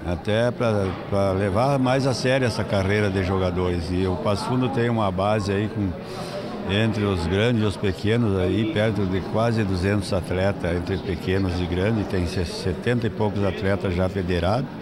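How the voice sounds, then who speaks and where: Older male club official, low casual voice, busy crowd behind. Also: fairly even intonation, normal speed. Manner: plain, informal speech. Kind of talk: interview answers. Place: large hall with audience.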